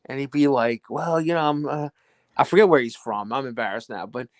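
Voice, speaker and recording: A man's voice: mid-range voice, brisk, dry recording. An American man from Louisiana hosting a casual chat in an easygoing, jokey storytelling manner. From Louisiana, United States. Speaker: host.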